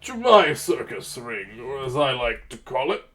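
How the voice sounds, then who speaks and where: A man's voice: medium pitch, very animated, unhurried, fairly bright tone. Man voicing a smug, fat circus crime boss.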